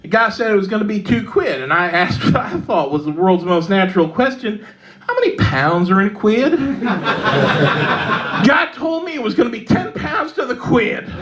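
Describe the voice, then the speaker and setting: A woman with a Texan accent performing a stand-up set in a pub comedy club, delivering dark jokes deadpan, with lots of fillers and little laughs at her own lines. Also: medium pitch, moderate pace, a little hiss or hum. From Texas. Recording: live club mic, loud audience laughter.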